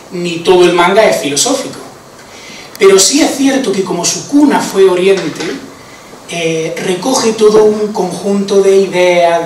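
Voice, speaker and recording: A man's voice: high-pitched, slight background noise. A man with an Andalusian Spanish accent giving an informal conference talk, chatty, with many fillers and tag questions. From Andalusia, Spain. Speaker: conference speaker. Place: conference hall. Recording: echoey hall, voice from far off.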